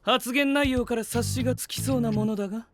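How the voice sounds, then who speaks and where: A man's voice: very high voice, a little fast. A man playing a self-assured young scientist knight in an anime audio drama, speaking in formal, old-fashioned, lofty phrases. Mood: explanatory, smug.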